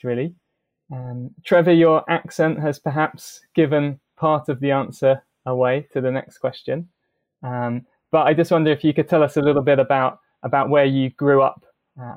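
A man hosting a podcast interview over a video call, relaxed and a little hesitant, with fillers. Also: medium pitch, moderate pace. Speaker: podcast host. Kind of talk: friendly interview.

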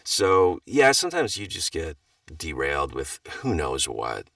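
A middle-aged man with a very deep, low voice talks casually about his narration work, relaxed and self-deprecating, getting animated in his stories. The recording is dry and close-sounding, though he sits a bit back from the mic. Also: measured pace, creaky, no room sound, far from the mic. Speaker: audiobook narrator. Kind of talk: casual podcast chat.

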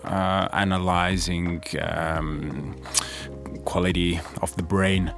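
A man's voice: low-pitched, level tone, takes it slowly, creaky. A man from Estonia, a music producer, answering interview questions in a thoughtful, hesitant way with many fillers. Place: podcast studio.

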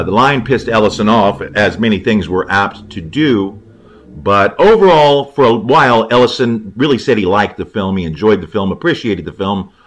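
A man giving an informal, opinionated film commentary in a rambling, conversational way. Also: fairly low pitch. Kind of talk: informal film review monologue.

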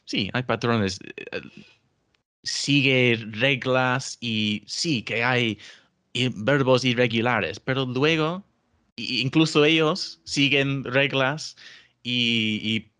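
A man's voice: mid-range voice, takes it slowly, pushed and bright, clean tone. A man whose first language is English, speaking Spanish as a learner in a casual interview, hesitant with many restarts and fillers. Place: England.

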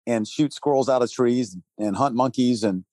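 A man's voice: mid-range voice, moderate pace, darkish tone, no echo, studio quality. A man in his sixties, raised in Thailand, telling his story in an earnest, conversational way, listing his points and chuckling between phrases. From Texas, raised in Thailand. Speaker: guest, relief organization leader. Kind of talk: conversational interview answers.

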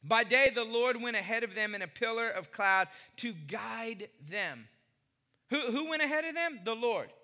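Man, 40s, pastor, sermon, high pitch, very bright tone, emphatic repetition. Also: expressive, normal speed, long reverb tail. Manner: emphatic, repeats phrases, asks the congregation questions.

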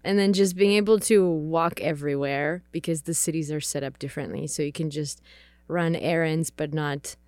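A woman from the Czech Republic speaking English with a Czech accent, calmly sharing her background in a podcast chat. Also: deep voice, moderate pace, no room sound. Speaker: missionary, ministry partner. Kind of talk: casual podcast conversation.

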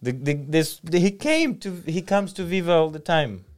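A man who guests on a casual podcast, chatty and quick to laugh. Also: a little high. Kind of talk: casual chat.

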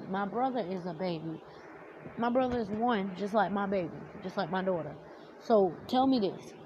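A woman's voice: medium pitch, dull audio. Young woman venting with a nasal voice. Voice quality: nasal, like about to cry. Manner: heated, blunt, heavy swearing. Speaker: vlogger. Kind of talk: storytime rant to camera. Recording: noticeable background noise.

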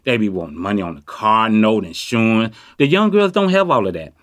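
Boastful low-voiced man in his fifties. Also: animated, fairly quick, fairly bright tone, no room sound. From Chicago, USA. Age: 50s. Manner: boastful, streetwise slang. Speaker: interviewee on the street. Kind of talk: candid street conversation. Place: city street. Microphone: handheld mic.

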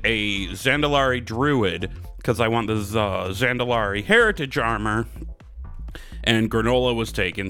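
A man's voice: mid-range voice, pushed and bright. A man chatting casually as a gaming podcast host, laid-back and a little apologetic, with loose rambling stories and casual swearing.

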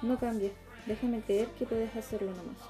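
A troubled, plain-spoken Chilean girl, played by a young woman in a radio drama. Her voice sits fairly high, and music plays clearly behind her. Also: steady tone, normal speed, dark tone, natural voice. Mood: pleading. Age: young adult. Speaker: student performer. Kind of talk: radio theatre acting.

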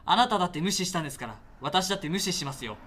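Actor as an earnest, animated young-sounding station attendant. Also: male voice, sits high, racing, a little hiss or hum. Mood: stern, urgent. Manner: polite formal speech, insistent and reproachful. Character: earnest, indignant male station attendant confronting a culprit. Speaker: actor in an amateur radio drama. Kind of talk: naturalistic radio drama dialogue.

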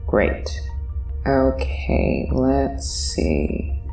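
A woman as a low, wryly underwhelmed space passenger. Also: big pitch swings, extremely slow, creaky, dark tone, heavily acted. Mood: wry resignation. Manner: hesitant, dry, understated. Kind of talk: sci-fi audio drama acting. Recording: roomy, distant mic, music behind.

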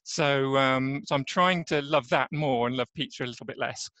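English man, relaxed and hesitant. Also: mid-range voice, normal speed, no echo, dull audio. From England. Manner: relaxed, hesitant with many ums. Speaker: podcast guest. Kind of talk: casual interview chat.

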